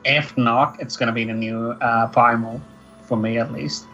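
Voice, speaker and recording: A man's voice: mid-range voice, steady tone, moderate pace, pushed and bright. A man with a Swedish accent, a streamer co-hosting a casual gaming webcast. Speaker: guild co-host and streamer. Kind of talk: casual chat. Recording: dull lo-fi call audio with faint music.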